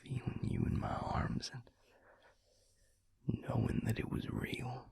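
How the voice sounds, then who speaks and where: A man playing a tender, weary vampire lover in an intimate audio roleplay, speaking haltingly in a hushed, breathy, gravelly voice. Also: mid-range voice, monotone, full character voice. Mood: raw, vulnerable. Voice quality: breathy, husky, gravelly half-whisper. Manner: hushed, halting, with long pauses.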